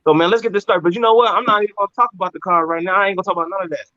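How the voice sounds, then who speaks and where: A man's voice: high voice, steady tone, racing, no room sound, highs cut off. A man hosting a casual podcast, talking in an upbeat, hyped way, calling people "man" and "bro". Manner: hype, upbeat, calls everyone "man" and "bro". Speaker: podcast host.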